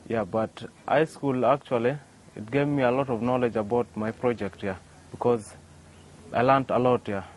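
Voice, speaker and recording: A young man answers interview questions outdoors. He restarts often and tags on "yeah" while he thinks. His voice sits at a middle pitch, with faint noise behind him. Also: steady tone, moderate pace, poor audio. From South Sudan. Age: young adult. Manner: hesitant, many "yeah" and restarts. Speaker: interview guest, young inventor. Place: outdoors by a workshop.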